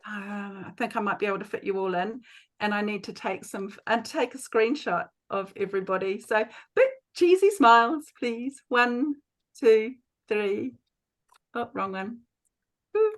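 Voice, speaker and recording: A woman organising an online meeting over a video call, brisk and cheerful, muttering to herself as she fumbles. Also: mid-range voice, lively intonation. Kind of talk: casual chat on a video call.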